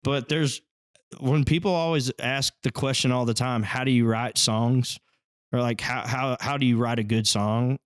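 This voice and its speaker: A man's voice: medium pitch, steady tone, moderate pace, clear, resonant tone, no room sound, studio quality. A man from Texas, a band's lead singer talking in a casual, modest, plain-spoken way as a podcast guest. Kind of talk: casual chat.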